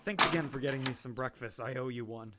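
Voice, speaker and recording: A woman's voice: extremely low pitch, fast, heavy background noise. An awkward, rambling young male doctor. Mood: chatty. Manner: rambling, self-deprecating and chatty. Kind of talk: audio drama acting.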